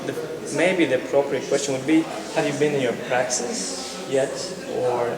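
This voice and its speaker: A man's voice: mid-range voice, steady tone, normal speed. A young man interviewing a student, often rephrasing his questions as he goes. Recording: distant mic in echoey hall with crowd.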